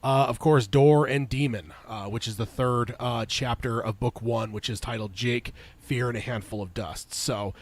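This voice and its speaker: A man's voice: mid-range voice, normal speed, few pauses. A man co-hosting a casual podcast, talking in a rambling way with lots of "uh" fillers and a slightly congested voice. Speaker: podcast co-host.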